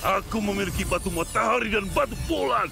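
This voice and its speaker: A sneering cartoon villain, an arrogant evil wizard who threatens with a gravelly edge. His voice sits rather high for a man, and loud music plays behind him. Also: lively intonation, fairly quick, heavily acted. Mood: sneering, gloating. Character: menacing, arrogant adult male evil wizard. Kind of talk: dubbed children's cartoon villain acting.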